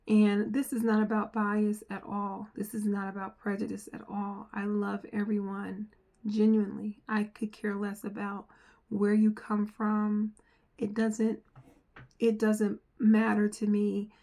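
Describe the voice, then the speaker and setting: A middle-aged woman from the United States, with an accent that mixes New Jersey, New York and North Carolina, talking earnestly to camera as a software trainer and repeating phrases for emphasis. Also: medium pitch, monotone, unhurried. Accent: mixed New Jersey, New York and North Carolina. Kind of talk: earnest direct-to-camera talk.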